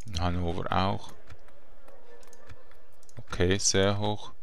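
A man giving relaxed, chatty gameplay commentary with slangy asides. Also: deep voice, slow pace, creaky, slight background noise. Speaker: let's play gamer.

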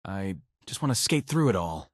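A man's voice: low-pitched, very animated, moderate pace, no room sound, clean studio sound. A man playing a jaded, awkward teenage student in a visual novel, speaking with wry detachment. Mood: detached, wry. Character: jaded, awkward teenage male human student narrating his life. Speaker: narrator and lead voice actor. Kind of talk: first-person visual novel narration and dialogue.

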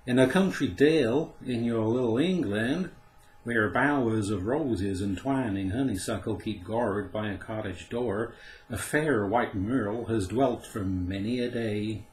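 A man reading aloud, voicing a haughty female great horned owl with a shrill, harsh voice. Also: animated, measured pace, reverberant, close to an everyday voice. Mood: gentle.

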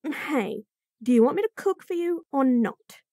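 A woman's voice: high voice, lively intonation, unhurried, dark tone, no echo, clean studio sound. A woman voicing a bratty, sassy bunny-girl chef in a fantasy roleplay audio, teasing and talking straight to the listener. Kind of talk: F4M roleplay audio, acted monologue.

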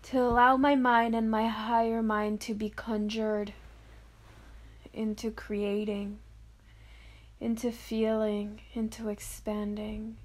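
A woman guides a meditation in a fairly high voice. She speaks very slowly, in soft, drawn-out phrases with long pauses. Her intonation stays level and dreamy as she offers affirmations.

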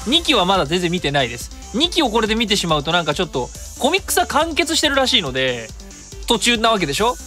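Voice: medium pitch, very animated, fast talker, sharp tone, soft background music. A radio host chatting casually alone, mixing polite speech with colloquial asides. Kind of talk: casual solo radio talk.

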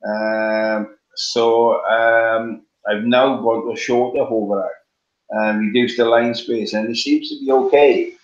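A man's voice: medium pitch, fairly even intonation, slow. A middle-aged man with a Welsh accent, chatting on a video call from his living room, with many ums and restarts. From Wales. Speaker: guest, competition flyer. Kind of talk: casual video call chat. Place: home living room, on a couch. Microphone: webcam on a video call. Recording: roomy, dull lo-fi webcam sound.

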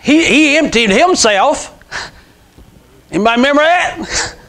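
A man's voice: very high voice, lively intonation, unhurried, fairly bright tone. A man in his sixties preaching an informal, fervent sermon as a pastor, emphatic and repetitive, with rhetorical questions. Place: small church sanctuary, indoors. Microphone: clip-on lapel mic.

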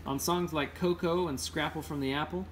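Higher-voiced man reading aloud, noisy recording. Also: fairly even intonation, moderate pace, a bit bright. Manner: informal, stumbles over words and corrects himself. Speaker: read-aloud host. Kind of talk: reading a children's picture book aloud with casual asides.

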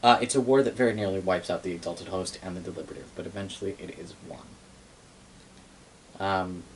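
Young guy rambling through a fantasy lore story, lowish voice, wry and sweary, living room with background noise. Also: low-quality recording. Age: young adult. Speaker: storyteller recounting game lore. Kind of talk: casual improvised storytelling. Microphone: camera mic a few metres away.

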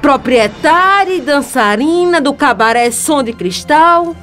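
An actress playing a righteous, defiant young woman in a Brazilian radio soap opera, measured and pointed. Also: high voice, expressive, a bit bright, faint music behind. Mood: defiant, accusing.

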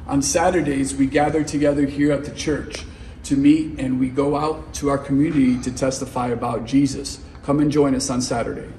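A man's voice: mid-range voice, steady tone, moderate pace. Middle-aged man announcing, distant and echoey. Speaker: church announcer. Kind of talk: scripted announcements to camera. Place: large indoor church foyer and halls. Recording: roomy, distant, noisy hall sound.

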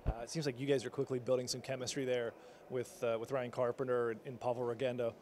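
A man's voice: medium pitch, flat delivery, quick pace, darkish tone. Male reporter asking rambling questions. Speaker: team reporter. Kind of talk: postgame interview questions.

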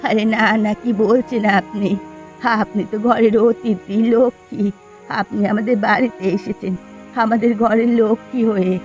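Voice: mid-range voice, fairly even intonation, measured pace, darkish tone, highs cut off, lightly acted. Performer as gossipy village woman, scornful yet hospitable, cartoon dialogue, music behind. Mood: reassuring. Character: village women, gossiping mother-in-law and daughter-in-law, hospitable but scornful. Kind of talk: dubbed Bengali cartoon dialogue.

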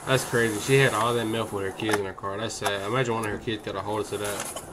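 A man's voice: fairly low pitch, steady tone, fast, noisy background. Young man riffing casually in slang. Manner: joking, slangy, talks to viewers as "bro". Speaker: reaction streamer. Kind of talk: casual reaction commentary. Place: bedroom streaming setup. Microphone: headset.

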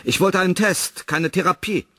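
An actor playing a troubled, irritable male scientist in a science fiction radio play, gruff and sarcastic. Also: high voice, normal speed, bright, forceful tone, no room sound, full character voice. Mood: irritated.

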